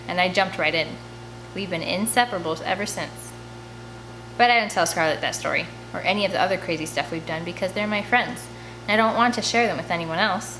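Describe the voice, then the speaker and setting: A woman's voice: mid-range voice, pushed and bright, rough recording. A woman reading a first-person children's novel aloud as an audiobook, telling the story expressively. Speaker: audiobook reader.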